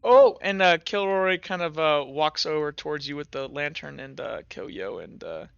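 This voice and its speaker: Rambling tabletop game master, bright tone, dry and slightly dull recording. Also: mid-range voice, moderate pace, gravelly, clear, resonant tone, no echo. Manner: rambling, many fillers and hedges.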